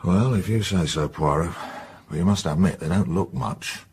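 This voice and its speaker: A middle-aged English policeman with a low voice. He is blunt, colloquial and suspicious, grumbling through a radio drama over noticeable background noise. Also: normal speed, darkish tone. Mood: sceptical. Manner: bluff, colloquial. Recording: clear background noise and ambience.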